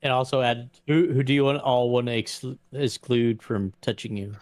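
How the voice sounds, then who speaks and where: A man casually narrating a tabletop game in a halting, informal way. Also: medium pitch, darkish tone.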